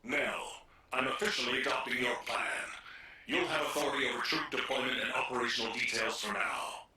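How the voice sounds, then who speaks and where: A calm military commander in a video game. The voice is very sharp and bright, echoing as if transmitted from a distance, and it is measured and decisive. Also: male voice, mid-range voice. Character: calm, authoritative male military commander, open-minded leader. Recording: echoey, distant, noisy, sharp-edged.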